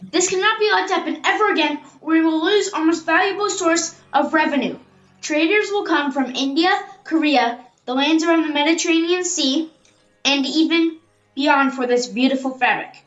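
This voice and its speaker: Lady acting as a stately imperial figure in a radio play, high voice, roomy sound, very formal. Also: normal speed, a bit bright. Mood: stern. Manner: formal, declamatory. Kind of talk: amateur audio-play acting. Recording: roomy, slightly dull home recording.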